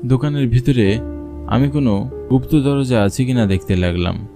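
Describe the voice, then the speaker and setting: A man's voice: mid-range voice, moderate pace, music in the background. A man narrating a scripted horror story in the first person, as a storyteller.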